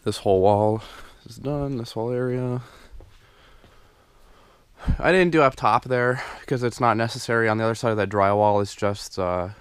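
Young guy filming his basement reno, smooth low voice, lots of ums, dry sound. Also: moderate pace, no room sound. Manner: rambling, many ums and restarts. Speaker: DIY home renovation vlogger. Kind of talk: casual walkthrough narration. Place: unfinished basement under renovation. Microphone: handheld camera mic, filming as he walks.

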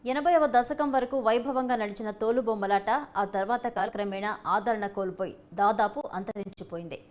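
A woman's voice: mid-range voice, fairly quick, slight background noise. A woman reading scripted narration for a documentary-style news feature.

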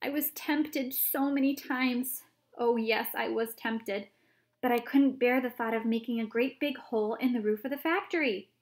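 A woman voicing an eccentric, excitable male chocolate maker who gushes with theatrical exclamations. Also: a little high, lightly acted. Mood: exuberant. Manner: gushing, theatrical, rapid exclamations.

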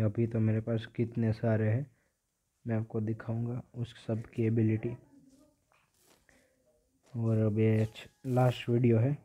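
A man's voice: mid-range voice, warm tone. A young man with an Indian accent giving casual mobile game commentary, often repeating short phrases. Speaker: gaming video host.

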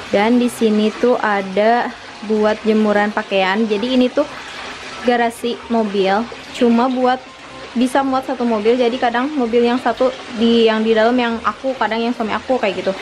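A woman's voice: on the high side, fairly even intonation, normal speed, background noise. A young adult woman, chatting casually and explaining things to viewers as a home-tour vlogger. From Indonesia. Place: her own small house, front yard then living room. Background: background music.

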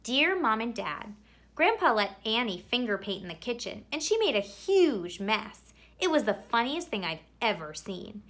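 A woman reading a children's picture book aloud expressively, with theatrical emphasis and drawn-out words. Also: a little high, big pitch swings, a bit bright. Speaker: read-aloud storyteller.

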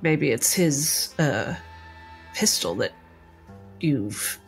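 A woman voicing a shrewd, imperious lady doctor with a genteel, measured way of talking in a tabletop game. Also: deep voice, animated, soft background music, full character voice. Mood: shrewd, composed.

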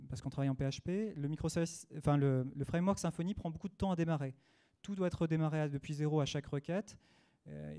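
A man with a French accent speaks at a small meetup, his voice mellow and slightly higher than most men. He talks in a halting way, often pausing on fillers and restarting his words. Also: clean tone. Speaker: conference speaker. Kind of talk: technical meetup talk. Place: small dim meetup room.

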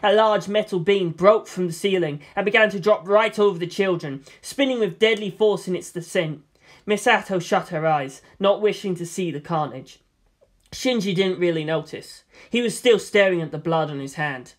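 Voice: mid-range voice, moderate pace. A narrator reading a story aloud in a dramatic, scripted style. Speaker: audiobook narrator.

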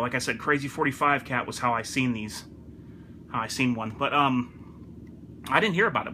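Bright-voiced man giving a casual gear review. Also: mid-range voice, a little hiss or hum. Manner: informal, repeats points for emphasis. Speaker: gun enthusiast presenter. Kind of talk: casual product review to camera.

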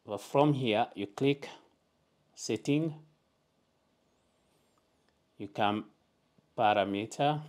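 A man hosting a step-by-step tech tutorial, talking through each setting in plain, deliberate instructions at a desk indoors. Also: mid-range voice, darkish tone. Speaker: tutorial host.

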